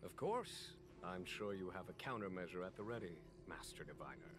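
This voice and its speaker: Calm, low-voiced, shrewd general. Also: male voice, noisy background. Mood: quietly amused. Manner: measured, musing, authoritative. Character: calm, shrewd male general, unhurried and quietly amused. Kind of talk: scripted video game voice acting. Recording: background music under the voice.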